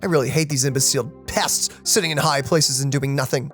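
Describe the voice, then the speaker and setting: A man's voice: medium pitch, a little fast, faint music behind. A man hosting a let's play, playfully reading game dialogue aloud and adding casual commentary. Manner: playful, animated.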